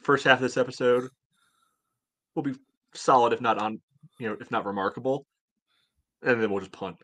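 A man's voice: medium pitch, normal speed, a bit bright, no room sound. A man giving casual game analysis as a podcast co-host, rambling and hedging as he goes. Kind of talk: casual sports analysis chat.

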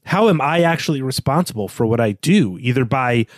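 A man's voice: mid-range voice, animated, fairly quick, no echo, studio quality. A man co-hosting a podcast, talking through a literary discussion in an analytical, explanatory way with clarifying asides. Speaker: podcast co-host.

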